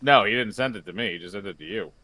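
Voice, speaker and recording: A man's voice: lowish voice, quick pace, sharp tone, dry recording. A man co-hosting a livestream, chatting casually and bluntly, with some swearing. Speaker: livestream co-host. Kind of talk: casual chat about films.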